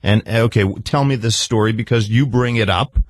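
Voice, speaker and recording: A man's voice: fairly low pitch, brisk, no room sound. A man conducting a probing, therapy-style radio interview as a psychiatrist, pressing with repeated questions and cutting in.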